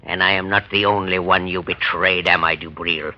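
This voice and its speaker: An actor playing a menacing, vengeful escaped convict in an old radio thriller, with a rough, gravelly voice and grim theatrical delivery. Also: male voice, sits low, steady tone, normal speed. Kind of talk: 1930s-40s radio thriller acting. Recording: dull, lo-fi old radio recording.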